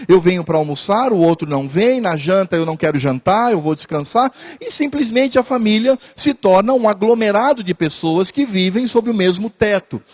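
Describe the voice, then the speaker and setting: Pastor, male, high-pitched, earnest sermon delivery with repeated lines. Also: lively intonation. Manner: earnest preaching, repeats phrases, pauses with hesitations.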